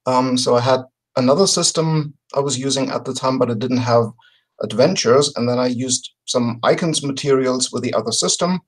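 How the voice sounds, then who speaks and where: Measured man on a video call. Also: mid-range voice, rough recording. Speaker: podcast guest. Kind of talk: casual explanatory storytelling. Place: home room with bookshelves. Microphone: video call, webcam distance.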